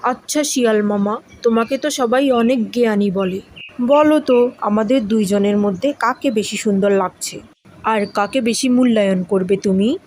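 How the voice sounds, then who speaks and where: A woman's voice: on the high side, normal speed, a little mellow, close to an everyday voice. A woman voicing a vain, boastful ripe mango with a haughty, taunting manner in a children's cartoon. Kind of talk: children's moral cartoon voice acting.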